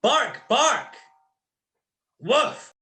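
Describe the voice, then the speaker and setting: A performer voicing a small, happy, excitable pet dog in an amateur audio drama. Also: high voice, extremely slow, full character voice. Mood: excited. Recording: echoey video-call recording.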